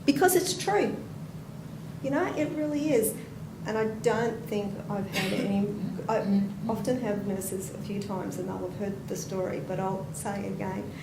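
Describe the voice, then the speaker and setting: A woman's voice: medium pitch, normal speed, vocal fry. A woman with an Australian accent, a nurse educator giving a chatty, anecdotal conference talk and asking the audience questions. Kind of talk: conference presentation. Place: conference room. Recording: roomy hall with background noise.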